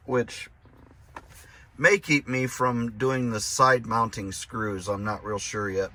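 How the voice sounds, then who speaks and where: A man hosting a casual hands-on DIY vlog, thinking aloud in a halting, self-deprecating, crude way. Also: mid-range voice, pushed and bright. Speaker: host of a DIY truck modification vlog. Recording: clear background noise and ambience.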